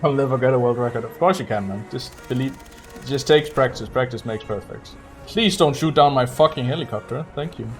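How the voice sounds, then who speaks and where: A man's voice: medium pitch, a little fast, faint music behind. A man giving casual, chatty livestream commentary while speedrunning a game, swearing now and then. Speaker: speedrunner streaming gameplay.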